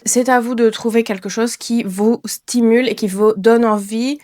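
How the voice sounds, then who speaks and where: A young woman, a French teacher, giving a relaxed spoken lesson to learners, chatty and often pausing with fillers and restarts. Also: fairly high pitch. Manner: chatty, many fillers and self-corrections.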